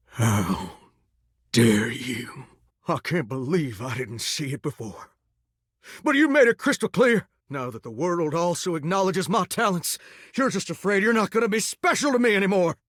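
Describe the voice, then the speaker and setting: A flamboyant male shower spirit from a video game, who croons and drawls with country flair. His voice sits high and leaps across a wide, lively range. It sounds close and dry, with no room around it. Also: no room sound, heavily acted. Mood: wounded, indignant. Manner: folksy, theatrical, crooning drawl. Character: vain, melodramatic male shower spirit, aspiring singer. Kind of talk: video game character dialogue.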